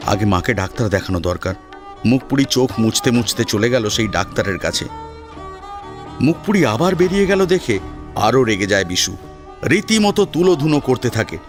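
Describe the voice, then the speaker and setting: A man's voice: mid-range voice, very animated, moderate pace, faint music behind. A man narrating a scripted story in a dramatic storytelling style.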